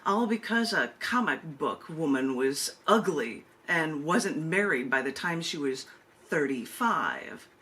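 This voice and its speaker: A woman hosting a virtual open mic, reading her poems and introducing them casually, with deliberate, emphatic phrasing and drawn-out pauses. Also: low voice, pushed and bright. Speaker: open mic host and poet. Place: virtual open mic over video call.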